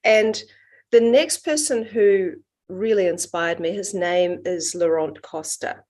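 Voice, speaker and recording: A middle-aged woman with a New Zealand accent, a guest on an interview podcast, explaining her work in an earnest, measured, reflective way. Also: fairly low pitch, a little mellow. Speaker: guest, water researcher.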